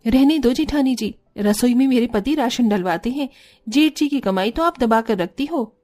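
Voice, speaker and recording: A woman's voice: fairly high pitch, expressive, moderate pace, warm tone, lightly acted. A woman voicing a put-upon, self-respecting young sister-in-law in a cartoon story, plain-spoken and aggrieved. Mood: indignant. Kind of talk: Hindi cartoon story acting.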